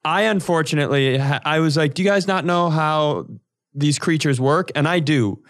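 A man co-hosting a podcast, telling comedic stories casually in an animated, sweary way and acting out dialogue. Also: a little high, normal speed. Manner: animated, profane, acts out quoted dialogue. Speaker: podcast co-host.

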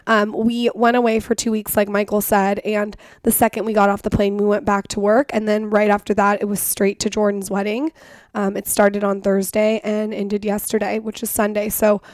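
A woman co-hosts in a casual, chatty style that slides easily into personal ad reads. Her voice is slightly hoarse and of middling pitch. The recording is dry, with little room around it. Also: little pitch movement, moderate pace, dry recording. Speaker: podcast co-host.

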